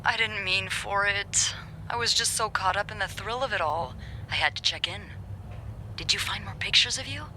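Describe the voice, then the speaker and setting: A woman's voice: medium pitch, moderate pace, pushed and bright, slight background noise, poor audio. A woman playing a sly, teasing, self-assured hotel receptionist in a video game, with a wry, confident delivery. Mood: playful, intrigued.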